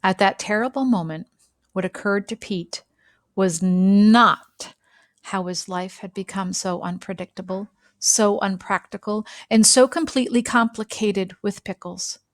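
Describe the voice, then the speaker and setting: A woman reading a children's picture book aloud with expressive, dramatic phrasing and deliberate pauses. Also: medium pitch, dry recording, clean studio sound. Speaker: storybook reader.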